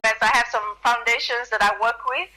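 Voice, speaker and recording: A woman from Nigeria with a Nigerian accent softened by American speech, a radio guest talking earnestly and often repeating words as she starts. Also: fairly high pitch, steady tone, a little fast, faint music behind. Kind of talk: radio interview by phone. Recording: tinny phone line.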